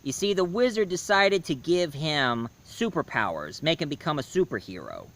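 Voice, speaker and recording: A man giving a casual spoken review, hoarse, rambling and correcting himself mid-sentence. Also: fairly high pitch, very animated, moderate pace, a bit bright. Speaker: movie and comics reviewer.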